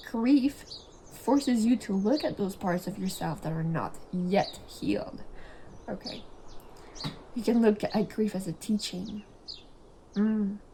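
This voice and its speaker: A young woman whose first language is French, giving a casual tarot reading in a chatty, giggly, rambling way and sometimes dropping to a soft whisper. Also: mid-range voice, a little hiss or hum, low-quality recording. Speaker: tarot reader.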